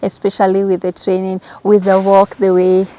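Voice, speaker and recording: Young Kenyan woman, fairly flat intonation. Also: mid-range voice, normal speed. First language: Kikamba. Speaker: interview guest. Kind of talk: casual personal talk.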